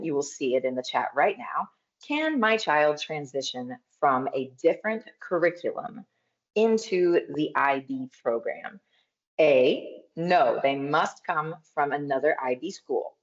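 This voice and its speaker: A woman moderating an online school open house, guiding the audience step by step in a lively way over video call. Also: low-pitched. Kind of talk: upbeat event hosting. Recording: dull lo-fi video-call audio.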